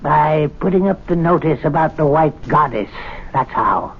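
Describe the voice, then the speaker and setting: A sly, spiteful prankster. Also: male voice, on the high side, animated, a little slow, vocal fry, breathy edge, full character voice. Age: older. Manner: sly, resentful, chuckling. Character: spiteful older male club servant, gleeful prankster. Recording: tinny 1970s radio broadcast.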